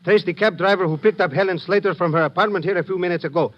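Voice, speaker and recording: An actor playing a formal, businesslike Greek police lieutenant who gives precise orders in a 1950s radio drama. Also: male voice, high-pitched, very fast, natural voice. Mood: brisk, official. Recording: muffled, noisy old radio recording.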